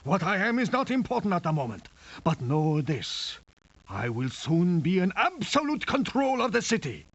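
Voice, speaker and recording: A grandiose, coldly menacing video game villain. He speaks formally and deliberately with dramatic sweeps of pitch, captured as a dull, low-fidelity sample. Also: very animated, exaggerated voice. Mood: grandiose, threatening.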